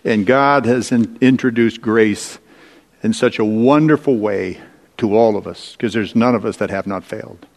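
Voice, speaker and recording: A man preaching a sermon, retelling Bible stories in a deliberate, storytelling manner with dramatic pauses and rhetorical questions. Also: lowish voice, steady tone, gravelly. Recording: echoey large hall with long reverb.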